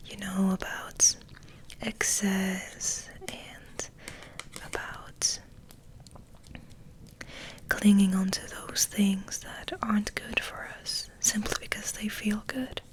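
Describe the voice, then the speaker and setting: Woman whispering slowly in an ASMR ramble. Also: mid-range voice, level tone. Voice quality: soft, breathy whisper. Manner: gentle, unhurried, musing. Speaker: ASMR host.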